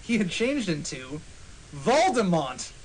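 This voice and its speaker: A man narrates amateur fiction as a podcast host, playing it mock-serious for comedy. His voice is slightly high, and the recording has faint noise. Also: sing-song, slow, low-quality recording. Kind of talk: comic dramatic reading of fan fiction.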